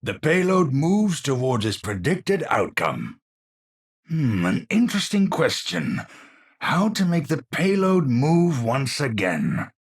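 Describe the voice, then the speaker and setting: An actor playing an eccentric, unstable scientist in a video game, with a Dutch accent, husky voice and theatrical, formal scientific phrasing. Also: male voice, on the high side, sing-song, slow, heavily acted. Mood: measured, scholarly. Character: eccentric, unstable male scientist, lofty and absent-minded. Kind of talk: video game character voice lines.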